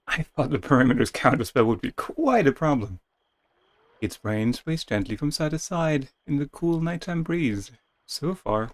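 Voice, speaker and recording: A man's voice: medium pitch, dry recording. A man reading a video game's story aloud as a dramatic narration, with playful casual asides. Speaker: video game streamer reading game text aloud.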